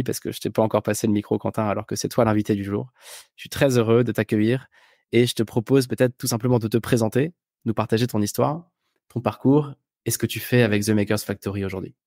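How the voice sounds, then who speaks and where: A man's voice: fairly low pitch, steady tone, a little fast, darkish tone, dry recording, clean studio sound. A man hosting a podcast, explaining things in an informal, conversational way. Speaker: podcast host. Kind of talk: conversational podcast monologue and introduction.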